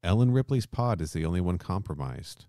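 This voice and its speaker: A man with an extremely low, deep voice chats as a podcast host. He is informal and a bit sweary, recorded dry with no echo around him. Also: sing-song, a little fast, mellow. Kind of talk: casual podcast discussion.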